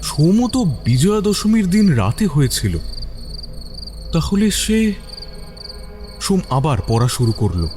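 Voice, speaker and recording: A man narrating a scripted mystery story as a dramatic, suspenseful storyteller with a measured delivery. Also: on the high side, very animated, noisy background.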